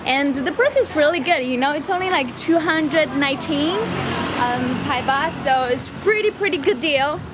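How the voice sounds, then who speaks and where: A young woman chatting to her camera at arm's length, excitable and quick to laugh, walking through a busy food market and night streets. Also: high voice, very noisy. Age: young adult. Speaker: travel vlogger. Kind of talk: casual vlog chat to camera. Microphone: handheld camera at arm's length.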